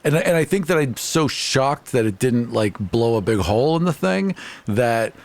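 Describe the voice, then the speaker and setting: A man's voice: medium pitch, animated, moderate pace, vocal fry. A man chatting casually as a player in a tabletop game, good-natured and conversational. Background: music.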